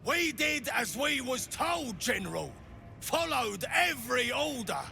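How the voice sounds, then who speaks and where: A craven soldier underling in a fantasy video game. His voice is very high and sharp, swooping as he pleads and makes defensive excuses. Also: very animated, measured pace, creaky, soft background music, heavily acted. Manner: pleading, defensive, uneducated grammar.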